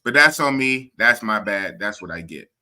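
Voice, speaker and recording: Man, live stream host, very bright and sharp tone, animated, rambling. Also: fairly low pitch, moderate pace. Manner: animated and rambling, restarts and repeats himself. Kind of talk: casual live stream chat.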